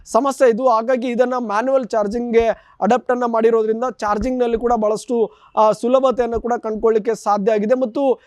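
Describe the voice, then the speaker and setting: Very high-pitched male news reporter. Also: fairly even intonation, fairly quick, darkish tone, no room sound. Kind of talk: unscripted TV news field report.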